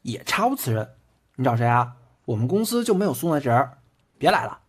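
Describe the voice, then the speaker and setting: An actor playing a teasing, boyish, devoted twenty-year-old boyfriend in intimate audio drama banter, in a colloquial way. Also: male voice, mid-range voice, sing-song, a little fast, breathy edge. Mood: playful. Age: young adult. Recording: background music under the voice.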